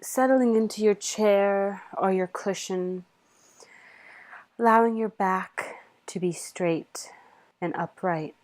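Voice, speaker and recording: A woman leading a guided meditation in a soothing, measured manner with long pauses. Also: fairly low pitch, a little mellow.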